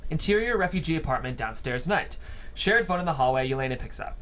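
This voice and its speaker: A man's voice: fairly high pitch, lively intonation, fast, a bit bright. A man reading stage directions as scripted narration at a screenplay table read.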